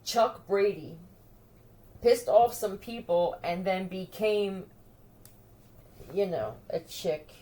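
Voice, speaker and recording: A woman's voice: mid-range voice, expressive, slow pace, long pauses, dark tone, rough recording. A woman talking in a scattered, profane monologue, addressing unseen listeners directly. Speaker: video creator. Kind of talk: rambling monologue to camera.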